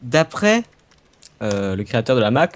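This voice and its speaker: A man's voice: mid-range voice, very animated, normal speed, a crowd in the background. A young man playing a game with a friend, teasing and chuckling at his own jokes. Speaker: co-player. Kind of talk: casual gaming chat.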